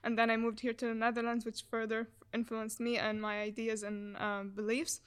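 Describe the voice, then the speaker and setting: Young woman, student guest, fairly high but flat voice, earnest. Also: moderate pace, smooth. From Egypt. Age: young adult. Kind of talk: podcast conversation.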